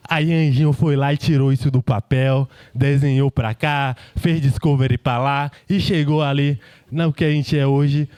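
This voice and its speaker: A man's voice: mid-range voice, fairly even intonation, normal speed, darkish tone, clear, resonant tone, dry recording. A Brazilian man giving an informal, jokey tech meetup presentation in colloquial speech full of tag questions. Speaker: engineering team speaker.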